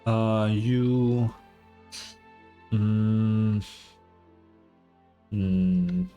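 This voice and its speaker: A man's voice: lowish voice, steady tone, extremely slow, dark tone. A man giving casual, offhand reaction commentary as he streams a game. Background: game music.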